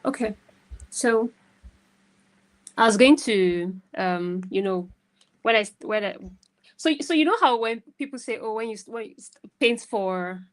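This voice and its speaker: A woman's voice: a little high, unhurried, pauses often, rough recording. A woman giving casual, conversational advice as a guest mentor on a video call, emphatic and often checking in with the listener. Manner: emphatic, often tags phrases with "right?".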